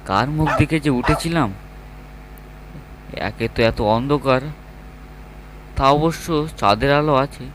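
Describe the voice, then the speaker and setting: A man's voice: mid-range voice, unhurried, long pauses, a bit bright, a little hiss or hum, natural voice, rough recording. A man playing a grumbling young male office worker in a horror cartoon, muttering in exasperation.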